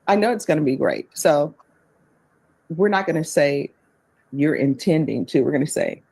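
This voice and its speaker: A woman judge in a courtroom, friendly and plainspoken, spelling out clear step-by-step instructions. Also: sits low, lively intonation, normal speed, a little mellow, rough recording. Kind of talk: presiding over court hearings.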